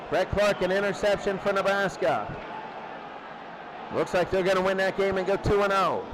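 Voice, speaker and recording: High-pitched football announcer, noisy crowd. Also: male voice. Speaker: play-by-play sports announcer. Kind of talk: live American football radio commentary. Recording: loud crowd noise behind commentary. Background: noisy sports crowd.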